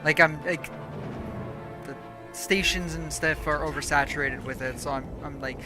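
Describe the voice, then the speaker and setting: Rambling woman streamer, voice going hoarse. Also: low voice, fairly even intonation, moderate pace, over music. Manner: rambling, corrects herself mid-thought. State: losing her voice. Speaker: game streamer. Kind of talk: casual live gameplay chat.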